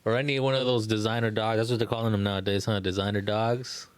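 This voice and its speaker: A man's voice: lowish voice, little pitch movement, quick pace, clean tone, no echo. A man co-hosting a podcast, cracking jokes in heavy street slang. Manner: joking, slangy. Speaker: podcast co-host. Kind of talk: casual chat.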